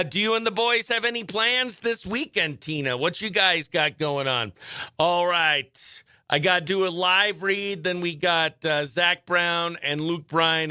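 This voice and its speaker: A man's voice: high voice, bright, forceful tone, smooth, no echo. An exuberant American man hosting a morning radio show with playful, chatty banter and effusive greetings. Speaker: morning radio host and comedian.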